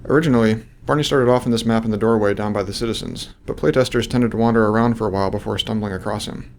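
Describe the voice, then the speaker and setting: A man's voice: lowish voice, steady tone, fast talker, a little mellow, slight background noise. A man giving scripted commentary as a game developer.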